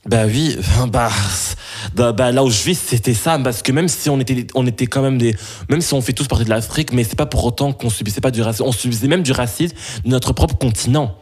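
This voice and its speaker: A man's voice: medium pitch, fairly quick, darkish tone, breathy edge. A young man from Belgium answering interview questions, hesitant, with many restarts and fillers.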